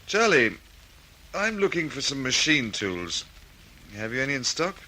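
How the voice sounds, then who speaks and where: A man's voice: medium pitch, sing-song, measured pace, sharp tone, exaggerated voice, poor audio. A man playing a plain-spoken junior police detective, blunt and laconic in an old radio drama. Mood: pressing, suspicious.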